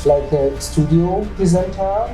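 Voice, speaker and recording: A man with a Nigerian accent hosting a talk program, asking questions in a formal, measured way. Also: sits high, warm tone. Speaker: host. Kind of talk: radio-style interview. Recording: echoey room with music behind.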